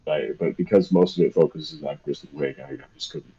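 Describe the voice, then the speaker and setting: Low, rambling man chatting on stream. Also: fairly quick, vocal fry, mellow, husky, lo-fi sound. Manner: rambling, hesitant, self-deprecating. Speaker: streamer and artist. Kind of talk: casual chat on a live stream.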